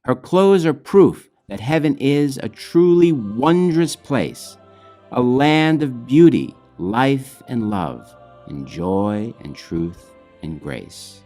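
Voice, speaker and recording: Male reader for children's rhyming picture book: slow and measured, lively melodic delivery, light music underneath. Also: medium pitch. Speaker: author reading own children's book. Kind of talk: storybook verse reading.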